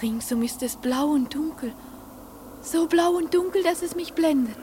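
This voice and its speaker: An actress playing a dreamy, trusting young girl in a radio play, recounting and acting out memories in a soft, breathy voice. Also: high-pitched, normal speed, a little hiss or hum, natural voice. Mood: wondering.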